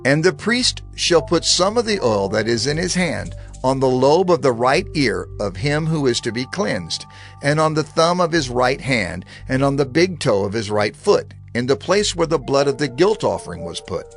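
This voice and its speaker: Expressive male scripture narrator. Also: medium pitch, normal speed, soft background music. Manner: steady, expressive, reverent reading.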